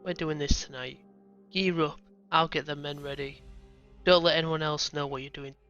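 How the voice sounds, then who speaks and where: A man's voice: on the high side, steady tone, normal speed, pushed and bright, smooth, faint music behind. A man playing a bold young lieutenant who is earnest and persuasive, on a dull lo-fi recording. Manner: earnest, rousing speech-giving.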